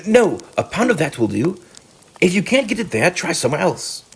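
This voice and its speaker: A man's voice: high voice, sing-song, a little fast, creaky, breathy edge, pitched above the performer's own voice, exaggerated voice. A man voicing a hearty, masterful male rat who speaks in a commanding way. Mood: brisk, commanding.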